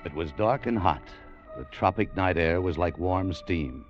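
A man's voice: low-pitched, a little slow. An actor playing a restless, cynical, world-weary American salesman in an old radio drama, talking and narrating in a hard-boiled way. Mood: brooding. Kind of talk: 1940s-50s radio drama acting, first-person narration. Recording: dull vintage radio recording, music behind.

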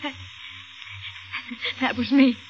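A woman's voice: sits high, fairly even intonation, takes it slowly. An actress playing a frightened, shaken young woman in a 1940s radio mystery, faltering and breathless as she speaks. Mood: shaken, anxious. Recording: muffled, noisy 1940s radio recording.

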